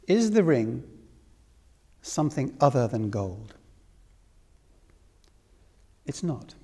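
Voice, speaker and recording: Gravelly man giving a spiritual talk, reverberant hall. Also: mid-range voice, mellow. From Wales. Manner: deliberate, repetitive phrasing with rhetorical questions. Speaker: spiritual teacher leading a talk. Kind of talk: spiritual discourse to a live audience. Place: reverberant room with an audience.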